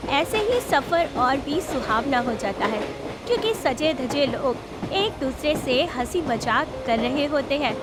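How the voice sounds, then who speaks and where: A woman's voice: high-pitched, expressive, unhurried, heavy background noise. A woman reading scripted narration for a moral story as its narrator.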